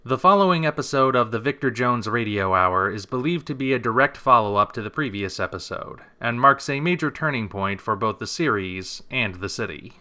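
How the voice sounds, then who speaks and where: A man reading scripted documentary-style narration in a measured, subdued, serious way. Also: mid-range voice, lively intonation, sharp tone.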